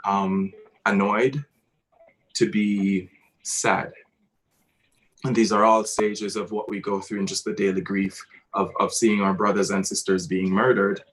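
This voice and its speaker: A man with a Jamaican accent speaking earnestly and haltingly, searching for words as he reflects on his creative work. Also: on the low side, low-quality recording. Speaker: theatre director discussing his work. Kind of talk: reflective talk about a creative piece.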